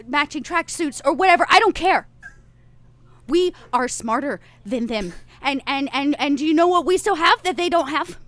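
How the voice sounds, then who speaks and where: A woman's voice: high voice, fairly bright tone, no room sound, exaggerated voice. A woman playing an exasperated, bossy young-sounding vampire hunter in a tabletop game, stammering and scolding with emphasis. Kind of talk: improvised in-character role-play.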